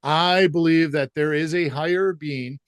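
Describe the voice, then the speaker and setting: A man's voice: fairly high pitch, steady tone, measured pace, clear, resonant tone, no echo, clean studio sound. A man guesting on a podcast, talking in an upbeat, emphatic, motivational way. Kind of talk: casual interview.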